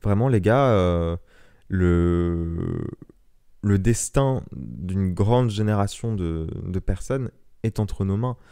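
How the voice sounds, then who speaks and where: A man's voice: deep voice, takes it slowly, creaky, warm tone, smooth. A man in his early twenties hosting a solo podcast, talking earnestly and with passion, with frequent restarts and fillers. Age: young adult. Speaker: podcast host.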